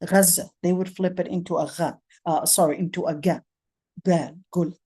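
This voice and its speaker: A woman's voice: fairly low pitch, fairly even intonation, normal speed, dark tone, husky. A middle-aged woman teaching a lecture on Quran commentary, explaining step by step and often checking in with "okay?". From Palestine. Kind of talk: classroom lecture.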